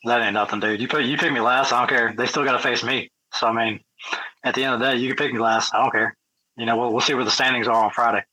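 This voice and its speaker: A man's voice: mid-range voice, fairly even intonation, fast, sharp tone, poor audio. A man in his thirties, a guest on a podcast, chatting casually and joking about himself, dropping "man" and "bro". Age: 30s. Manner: laid-back, self-deprecating, says "man" and "bro". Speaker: podcast guest, grappling athlete.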